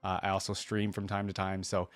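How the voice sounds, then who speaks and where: A man with a fairly low voice hosts a reaction video in a casual, chatty way. He rambles through his thoughts and often checks in with a short question. The sound is dry, with very little room in it. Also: completely flat delivery, fairly quick, no echo, faint music behind.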